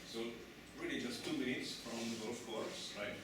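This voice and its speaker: Man lecturing in an echoey hall. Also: mid-range voice. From Czech Republic. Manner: halting, many restarts and fillers, asks the audience questions. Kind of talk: conference lecture. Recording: echoey hall, distant mic, noisy.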